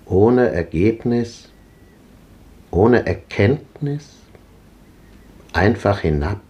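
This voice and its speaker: An old gentleman in a 1950s radio play, grumbling about his eyes and about missing dates. His voice is low and mellow, and he rambles on wryly. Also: expressive, extremely slow, highs cut off. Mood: musing. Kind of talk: 1950s radio drama acting.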